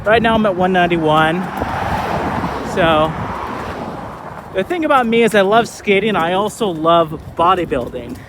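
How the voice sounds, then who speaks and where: A man in his thirties talking casually in a vlog, relaxed and rambling with lots of fillers, recorded outdoors while skating. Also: sits high, unhurried, long pauses, background noise. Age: 30s. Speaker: vlogger.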